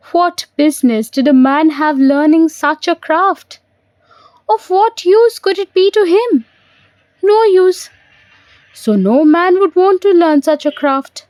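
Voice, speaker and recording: A woman's voice: very high-pitched, darkish tone, dry recording, voice pushed up, exaggerated voice. A woman voicing a bitter, sarcastic older man, a disillusioned dancer, in an audio play. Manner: sardonic, rhetorical, brooding.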